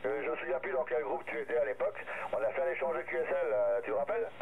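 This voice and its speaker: A man's voice: mid-range voice, expressive, racing, gravelly, narrow, tinny audio. A middle-aged man chatting casually and hesitantly as a radio hobbyist, heard through a two-way radio transmission. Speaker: amateur radio operator.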